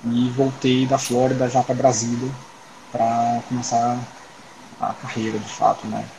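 A man in his thirties from Salvador, speaking over a home video call where a steady hiss runs under his voice. His voice sits at an ordinary middle pitch. He talks in a relaxed, chatty way. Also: measured pace, gravelly. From Salvador, Bahia, Brazil. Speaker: guest surgeon. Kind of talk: casual interview chat over a video call.

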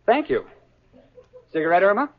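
A suave young gentleman with a courteous, formal manner and a lively, lilting delivery. The sound is dull and old-fashioned, like a vintage radio broadcast. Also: high voice, normal speed. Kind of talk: 1940s radio sitcom acting.

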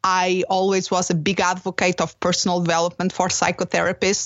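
Interview guest: Portuguese-accented woman, lowish voice, animated, dull dry sound. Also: no room sound. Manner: animated, nonnative phrasing, many fillers and restarts. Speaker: business coach guest. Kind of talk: interview conversation.

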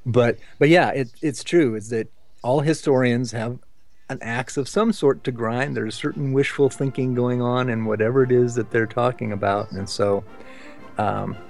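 A man's voice: medium pitch, fairly even intonation, moderate pace, gravelly, darkish tone, faint music behind. A man hosting a podcast, chatting casually in a wry, musing way. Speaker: podcast host. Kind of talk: casual conversational discussion.

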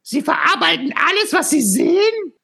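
A woman voicing a small, naive creature in an audio drama, curious, plaintive and easily offended, with a creaky voice. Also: very high-pitched, lively intonation, moderate pace, fairly bright tone, full character voice. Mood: anxious, questioning. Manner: plaintive, questioning, stammers with indignation.

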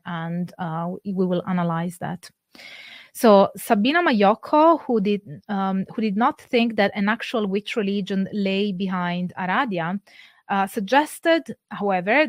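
A woman talks through scholarly sources in a careful, measured lecture. Her voice sits in a middling range, neither high nor low. The sound is dry, with almost no room around it. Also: dry recording. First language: Italian. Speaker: presenter. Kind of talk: academic lecture.

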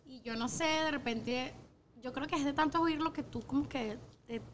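Venezuelan lady chatting as a guest, high voice, slangy stories, some noise behind her. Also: normal speed. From Caracas, Venezuela. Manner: candid, animated slangy storytelling. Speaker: interview guest. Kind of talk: casual conversational storytelling.

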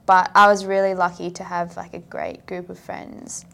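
Chatty young woman, gravelly edges. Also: fairly low pitch, level tone, moderate pace, sharp tone. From South Africa. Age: young adult. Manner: chatty, filler-heavy, frequent "like". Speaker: podcast guest. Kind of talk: casual interview chat.

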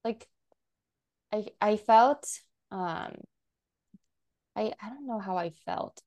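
A woman's voice: medium pitch, slow, a little mellow. A young woman from the United States, a content creator chatting as a guest, rambling with lots of "like" fillers and laughing often. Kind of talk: casual chat.

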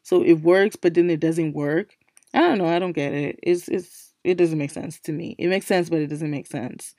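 A young woman talks through her solo podcast in a deep, low register, her voice still worn and hoarse from losing it days ago. She is chatty and emphatic, circling back to restate each point. The sound is close and dry, with no room around it. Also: moderate pace, dark tone, no echo. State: recovering from a lost voice, speaking low. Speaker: podcast host. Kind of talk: casual solo podcast chat.

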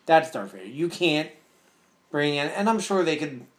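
A man co-hosting a podcast, talking casually and agreeably with short replies and excited asides. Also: on the high side, moderate pace. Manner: relaxed, agreeable, short interjections. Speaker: podcast co-host. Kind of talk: casual chat.

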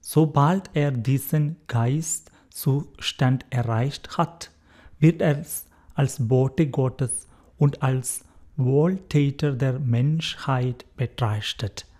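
Young indian guy talking slow and careful, lots of ums, soft dark voice. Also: medium pitch. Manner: careful, halting German with fillers, recently learned. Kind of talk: interview answers.

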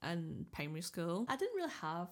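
A woman's voice: on the low side, wildly swooping pitch, fairly quick, slight background noise. A young woman hosting a podcast in casual chat, teasing her co-host and laughing often. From Scotland.

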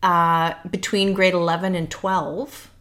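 A woman recounts her memories in a thoughtful, unhurried way. Her voice sits a little low for a woman, and she fills her story with detail. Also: level tone, a bit bright. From Canada. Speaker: guest. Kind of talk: casual conversation, personal storytelling.

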